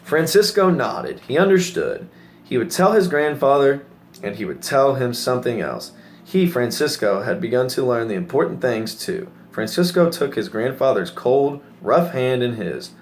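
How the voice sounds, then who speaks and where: A man narrates a children's story aloud at a middle pitch. There is noticeable noise in the recording behind his reading. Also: very animated, moderate pace. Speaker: story reader.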